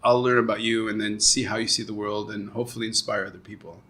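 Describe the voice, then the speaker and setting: Bright-voiced chatty man. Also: on the low side, little pitch movement, fast talker. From Quebec, Canada. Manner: jokey, chatty, thinks out loud with fillers. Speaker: podcast host. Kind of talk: casual conversation.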